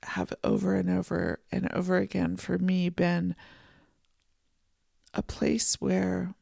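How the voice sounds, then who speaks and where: Slow, gravelly, froggy-voiced podcast host. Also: medium pitch, completely flat delivery, dry recording, clean studio sound. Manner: soft, slow and contemplative, with gentle restarts. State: froggy morning voice. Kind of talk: reflective solo podcast monologue. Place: home office and art studio.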